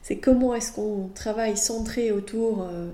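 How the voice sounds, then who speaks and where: A woman's voice: medium pitch, steady tone, measured pace, warm tone, roomy sound. A woman from France with a French accent, hosting a podcast as a midwife and talking in a monologue.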